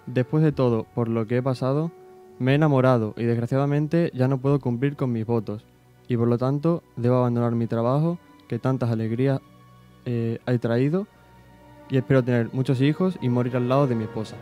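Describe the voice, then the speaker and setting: A man's voice: medium pitch, brisk, highs cut off, soft background music, natural voice. A teenage boy from Spain playing a humble village priest of the 1790s, reading diary entries aloud in a small school radio studio. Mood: solemn. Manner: steady, plain reading-aloud delivery. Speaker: student reader on school radio. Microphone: desk microphone, headphones.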